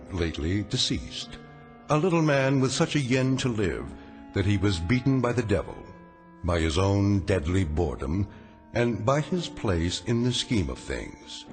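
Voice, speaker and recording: A man delivering scripted dramatic narration as narrator, measured and ominous. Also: on the low side.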